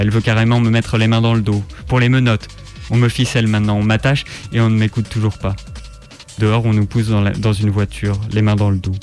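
A man reads a literary story in the first person. His voice sits low and barely moves in pitch, keeping a flat, even line. Soft music plays beneath the narration. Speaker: reader on a radio show.

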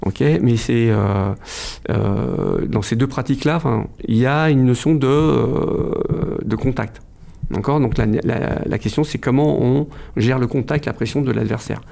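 A man's voice: medium pitch, a little slow, vocal fry, dark tone. A middle-aged man from France, a kung fu club president, speaking hesitantly in a casual radio interview, with many fillers and restarts.

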